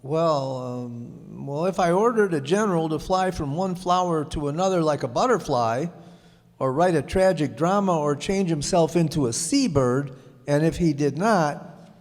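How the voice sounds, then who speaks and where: A man's voice: on the high side, expressive, normal speed, a little mellow. A man playing a lonely, self-important but kindly king in a radio play, regal and given to hemming and hawing. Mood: blustering, hesitant. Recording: echoey hall, long reverb.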